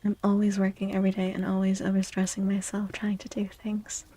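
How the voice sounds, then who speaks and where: A woman streaming a soft-spoken ASMR tarot reading close to the mic, often slipping into a breathy whisper, with a playful, cutesy, affectionate manner. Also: medium pitch, completely flat delivery, fairly quick, no echo. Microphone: close microphone.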